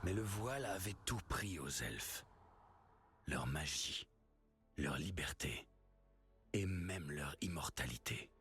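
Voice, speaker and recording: An actor playing a grave male storyteller, narrating an ancient legend in a solemn, measured, ominous way for a fantasy video game prologue. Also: a bass voice, expressive, fairly bright tone, faint music behind, full character voice. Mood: ominous, urgent.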